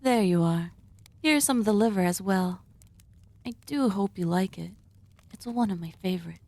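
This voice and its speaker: A woman playing a polite, sinister female cannibal surgeon hosting dinner in an ASMR roleplay, speaking in formal, deliberate phrases. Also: mid-range voice, a little mellow, no echo, natural voice. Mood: attentive, gracious. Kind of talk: ASMR roleplay, scripted monologue addressed to listener.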